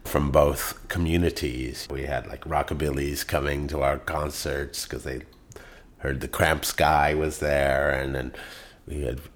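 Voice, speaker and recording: A man who is a musician, telling stories about his band in a rambling, animated way with many fillers. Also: a bass voice. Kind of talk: interview storytelling.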